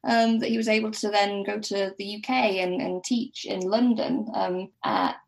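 A woman's voice: mid-range voice, steady tone, moderate pace, vocal fry, low-quality recording. A woman speaking as a podcast guest, explaining things informally and tentatively, piecing facts together aloud.

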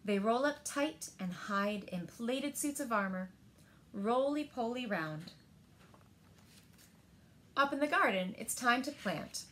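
A woman in her thirties reading a picture book aloud to children in a gentle, deliberate manner, pausing to ask them questions. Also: a little high, lively intonation, a little hiss or hum. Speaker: library storyteller. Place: indoors, small living room by windows.

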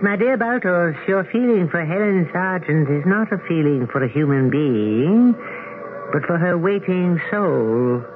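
An imperious immortal ruler in a 1970s radio play. He speaks very deliberately and formally, with condescension, in a fairly high voice. The sound is narrow like an old broadcast. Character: imperious immortal lord ruling a planet of souls. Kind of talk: 1970s radio drama acting.

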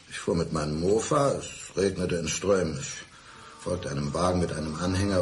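An actor in a radio drama playing a lonely, blind middle-aged man, deliberate and polite yet needling. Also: extremely low pitch. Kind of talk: 1990s German radio drama acting.

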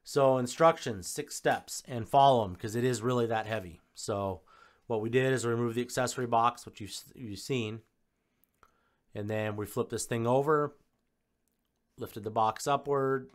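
A man's voice: medium pitch, normal speed. A man giving a casual, off-the-cuff unboxing and spec review, listing details in short, informal phrases. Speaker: home theater installer presenting a product unboxing.

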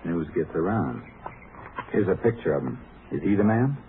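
An actor playing a calm, skeptical Texas Ranger in a 1950s radio drama, speaking in a dry, measured, deadpan way. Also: male voice, low-pitched, a little hiss or hum. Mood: dry, cool. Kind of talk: 1950s radio drama acting, first-person narration and dialogue. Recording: muffled vintage radio recording with music.

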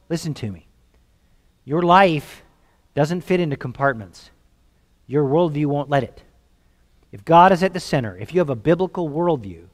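Earnest Southern preacher with a ringing voice. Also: male voice, high voice, long reverb tail. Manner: earnest, emphatic, gestures while speaking, repeats words when building a point. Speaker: pastor. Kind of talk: sermon. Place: church sanctuary stage, seated behind a music stand.

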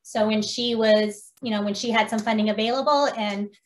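A woman's voice: medium pitch, steady tone, moderate pace. A woman from the United States, a preschool teacher, explaining things informally in an online training workshop. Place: indoors on a video call. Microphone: video call.

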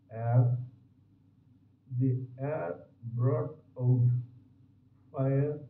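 A middle-aged man giving a measured spiritual talk to a phone camera in a small room. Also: mid-range voice, a little hiss or hum. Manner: slow, measured, deliberate phrasing. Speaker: spiritual speaker. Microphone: phone camera at arm's length. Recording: tinny, echoey, distant phone audio.

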